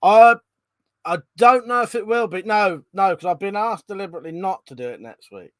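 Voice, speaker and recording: Guy live streaming, bit stuffed up from a cold, slightly high voice, rambly chat with lots of ums, dry close mic. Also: a little fast, a bit bright, no echo. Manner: chatty, rambling, frequent ums and restarts. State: congested from a cold, coughing. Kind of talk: casual live chat reading out viewer comments.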